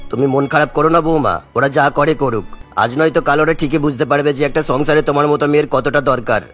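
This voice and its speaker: A man playing a gentle, principled older father-in-law in a cartoon, speaking in a consoling, earnest way. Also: on the high side, steady tone, fast talker, faint music behind. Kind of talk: animated moral-tale cartoon acting.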